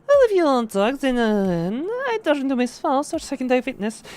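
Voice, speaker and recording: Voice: high voice, big pitch swings, moderate pace, darkish tone, pitched above the performer's own voice. A game streamer voicing a confident, playful woman who plays detective, reading game dialogue aloud.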